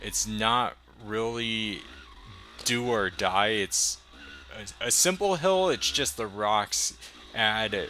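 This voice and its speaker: A young man talking casually over his riding footage as a motovlogger, relaxed and enthusiastic, a little rambling. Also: lowish voice, slow pace, slight background noise.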